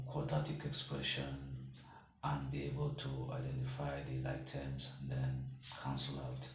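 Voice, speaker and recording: A man's voice: rumbling low, fairly bright tone, a little hiss or hum. A man tutoring a math lesson step by step, patiently checking whether the viewer follows. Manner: patient, checks in with "okay?" and "can you see that?". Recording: echoey room, mic far off.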